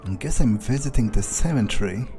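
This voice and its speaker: A man thinking aloud in character during a video game playthrough, halting and matter-of-fact, with casual swearing. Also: mid-range voice, expressive, dark tone, faint music behind. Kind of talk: in-character musing aloud, thinking through events.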